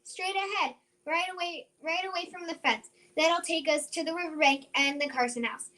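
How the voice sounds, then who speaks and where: A woman's voice: extremely high pitch, moderate pace, bright, forceful tone. A woman playing a plucky, sure-minded young country girl in old-time radio drama style, eager and emphatic. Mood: urgent, certain. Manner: eager, emphatic, repeats phrases.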